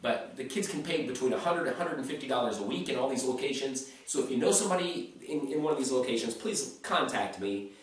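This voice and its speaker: A man's voice: mid-range voice, animated, fast, hardly any pauses. An American man talking casually in a blunt, rambling vlog, swearing freely and a little tipsy. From USA. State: slightly tipsy. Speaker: vlogger. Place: home in Serbia. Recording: very echoey room, mic far off.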